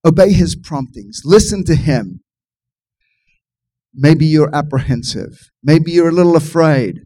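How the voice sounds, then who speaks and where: A man's voice: a little high, expressive, measured pace, mellow. A man preaching a sermon to his congregation, pushing key phrases up with passionate, surging emphasis. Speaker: pastor.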